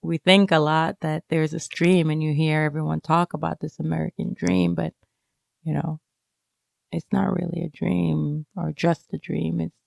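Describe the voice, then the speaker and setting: A woman being interviewed, talking casually and reflectively, halting as she searches for words. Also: sits low, little pitch movement, vocal fry, darkish tone, no room sound, studio quality. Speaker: interviewed student writer.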